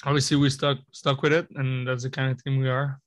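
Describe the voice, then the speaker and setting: A man in his twenties, a hockey player answering questions at a postgame press conference, halting and filling his speech with "you know" and "I mean". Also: mid-range voice, level tone, no echo. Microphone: table mic in front of him.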